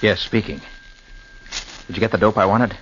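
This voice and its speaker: An actor playing a calm, probing detective in a 1940s radio drama, brisk and questioning. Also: male voice, lowish voice, lightly acted. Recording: dull old radio recording with faint hiss.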